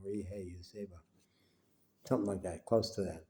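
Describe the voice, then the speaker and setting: Congested older man, low mellow voice. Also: steady tone, slight background noise. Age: 70s. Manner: unhurried, rambling, with frequent pauses and fillers. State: congested with a cold. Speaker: vlogger. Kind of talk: reflective personal monologue. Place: small home indoors, quiet.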